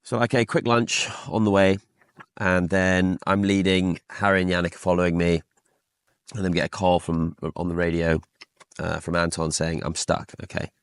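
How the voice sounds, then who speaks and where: A man's voice: low-pitched, little pitch movement, moderate pace, smooth, dry recording, studio quality. A man chatting casually on a podcast, telling anecdotes as an off-road driver.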